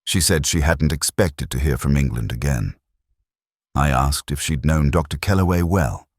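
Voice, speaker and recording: A man narrating a literary detective story in the first person, in a measured, reflective and solemn manner. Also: extremely low pitch, no room sound, studio quality.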